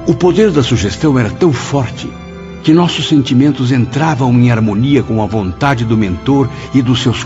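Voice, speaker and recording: An actor playing an earnest, reverent male spirit pupil who recounts memories formally in a radio drama. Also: mid-range voice. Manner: formal, expressive. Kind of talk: dramatised first-person narration. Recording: dull lo-fi sound. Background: music.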